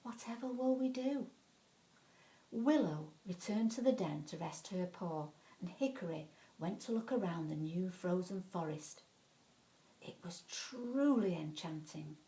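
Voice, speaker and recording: A woman narrating a children's bedtime story in an expressive, dramatic way, leaning on key words. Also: on the low side, unhurried, poor audio.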